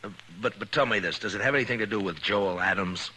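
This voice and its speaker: An actor playing a firm, concerned frontier marshal in a 1950s radio western, plainspoken and probing. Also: male voice, lowish voice, fast, sharp tone, poor audio. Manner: plainspoken, hesitant lead-ins, probing questions. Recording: old 1950s radio recording, faint noise.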